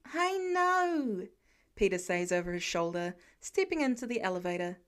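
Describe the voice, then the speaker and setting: A woman's voice: lowish voice, lively intonation, fast talker, clean tone. A woman reading a story aloud as scripted narration for an amateur audiobook. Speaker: fan fiction audiobook reader.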